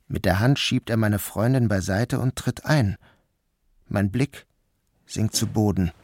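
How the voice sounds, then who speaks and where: Male narrator, first person, measured, subdued, lowish voice, noisy hiss behind. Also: a little mellow.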